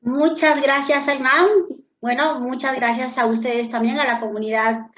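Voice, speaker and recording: A woman's voice: high-pitched, steady tone, moderate pace, a bit bright. A middle-aged woman with a Peruvian accent, a business consultant telling her story earnestly as an interview guest. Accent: Peruvian Spanish. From Peru. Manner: earnest, reflective storytelling with frequent fillers. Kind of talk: interview conversation.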